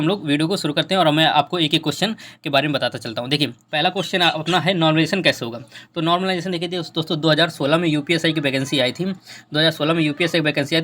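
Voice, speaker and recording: A young man talks very quickly in a slightly high voice. He explains things informally, like a tutor to students, repeating phrases for emphasis and reassuring as he goes. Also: sharp tone. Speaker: exam preparation YouTuber. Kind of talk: informal explainer addressed to exam candidates.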